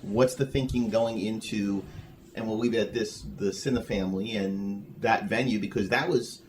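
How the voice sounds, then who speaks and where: A man's voice: on the low side, steady tone, moderate pace. A man hosting a casual interview, asking questions in a conversational way. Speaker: interviewer, podcast host. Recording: faint room noise and ambience.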